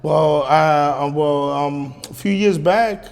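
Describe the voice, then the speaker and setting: A man's voice: a little high, takes it slowly, creaky, long reverb tail. A man whose first language is Spanish, a guest musician chatting casually and rambling with lots of fillers and restarts. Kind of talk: casual interview chat.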